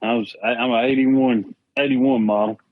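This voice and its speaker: A man's voice: medium pitch, fairly even intonation, normal speed, no room sound. Give me a chatty man in his forties, with a tinny phone-line sound. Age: 40s. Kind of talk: casual chat.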